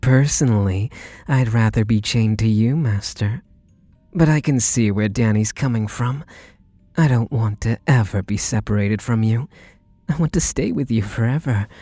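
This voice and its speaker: A man's voice: fairly low pitch, mellow, slightly rough, no echo. A man playing an eager, submissive, lovestruck butler who gushes earnestly and pleadingly in an intimate ASMR roleplay.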